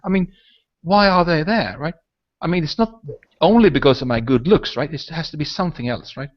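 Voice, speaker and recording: A man's voice: a little high, brisk. A man whose first language is Swedish hosts a casual online hangout, rambling and tagging his points with "right?" and "I mean". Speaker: host. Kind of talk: casual video hangout chat. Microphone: video call. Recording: lo-fi video call audio.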